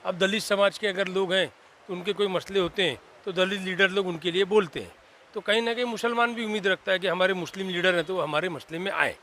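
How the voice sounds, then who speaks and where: Man, high pitch, sharp bright tone, explanatory interview answers, faint noise. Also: steady tone, fast talker. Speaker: community leader, social worker.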